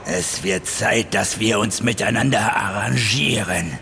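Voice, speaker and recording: An actor playing a sinister, mockingly evil inner voice bent on revenge in an audio drama, with a gravelly voice. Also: male voice, on the low side, unhurried, pushed and bright, faint music behind, full character voice. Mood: sly, calm. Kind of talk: German audio drama acting.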